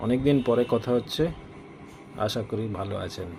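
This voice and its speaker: A man's voice: mid-range voice, moderate pace, a little mellow, a little hiss or hum. A man with a Bengali Indian accent chatting casually as an amateur radio operator, mixing English phrases and radio procedure into his talk. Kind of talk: casual ham radio conversation.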